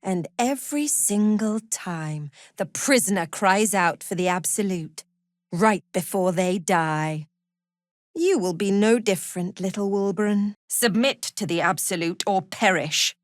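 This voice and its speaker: A woman playing a cruel, haughty prison warden in a fantasy video game, menacing and condescending, with measured, deliberate delivery. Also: medium pitch, no echo, studio quality, close to an everyday voice. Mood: cold, condescending. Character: cruel, haughty female prison warden, zealous cult follower.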